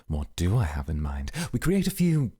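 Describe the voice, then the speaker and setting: A man playing a composed, calculating, coolly amused mafia boss, speaking in a measured, formal way in an ASMR roleplay. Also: sits low, wildly swooping pitch, mellow, slightly rough, dry recording, clean studio sound, heavily acted. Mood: calculating, calm.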